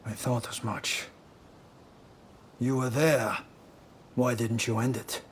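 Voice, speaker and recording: Man acting a commanding samurai, rumble behind. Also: fairly even intonation, takes it slowly, lightly acted. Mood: reproachful. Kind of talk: dramatic video game cutscene acting. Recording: rumbling background noise.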